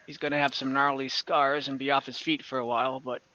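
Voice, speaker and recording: A man's voice: medium pitch, little pitch movement, a little fast, pushed and bright, highs cut off, close to an everyday voice. A man improvising a casual, matter-of-fact prison official in a tabletop roleplay, with a laid-back, hedging manner.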